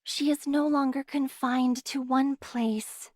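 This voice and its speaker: A woman voicing a calm, gentle and slightly aloof female nurse in an anime game. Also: high voice, takes it slowly, no echo. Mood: gentle, soothing.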